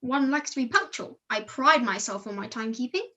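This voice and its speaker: Muffled call audio frames a woman playing a prim male comedy puppet. The delivery is pompous and formal, high in pitch and crisp-edged. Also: lively intonation, fairly quick, pushed and bright. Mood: smug. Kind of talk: comedy puppet show acting. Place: video call.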